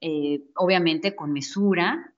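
Lower-voiced woman, chatty wellness talk. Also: slow pace. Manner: chatty, explanatory, frequent restarts and fillers. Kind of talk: casual wellness chat. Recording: dull lo-fi audio.

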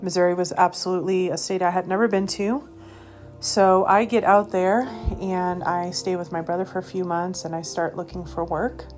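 A woman from Colorado sharing her personal testimony as a ministry host, speaking in an earnest, halting way with many pauses and fillers. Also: lowish voice, little pitch movement, darkish tone, faint music behind. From Colorado, USA.

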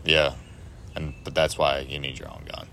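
Very deep, easygoing male co-host, faint noise behind. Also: little pitch movement, moderate pace, creaky, bright, forceful tone. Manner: laid-back, short agreeing replies. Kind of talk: casual chat.

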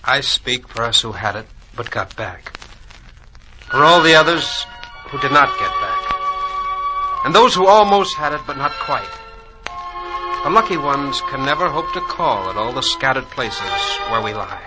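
A man's voice: medium pitch, very animated, unhurried, pauses often, music in the background, rough recording. An actor playing a wounded airman who survived, proud and haunted, earnestly speaking dramatic verse in 1940s radio style. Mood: grave, haunted. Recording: old 1940s radio broadcast recording. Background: musical score.

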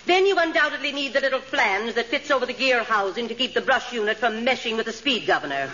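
An efficient, matter-of-fact female shop manager. Her delivery is politely pedantic 1940s radio acting, dull in sound, with an audience clearly behind. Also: a little high, lively intonation, quick pace, bright, forceful tone, a faint crowd. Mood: businesslike. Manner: crisp, businesslike, matter-of-fact.

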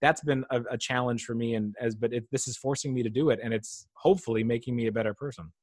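A man in his early thirties chats casually and reflectively, leaning on fillers as he thinks aloud. His pitch sits in an ordinary middle range. The sound is close and dry. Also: steady tone, quick pace, darkish tone, no room sound. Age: 30s. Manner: reflective, faith-minded, many fillers. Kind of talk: casual chat.

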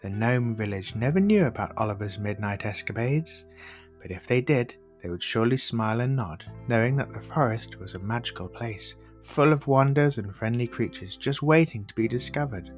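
A male storyteller reads a scripted bedtime tale for children at a middling pitch. Faint plucked guitar music plays behind his narration. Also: lively intonation, normal speed.

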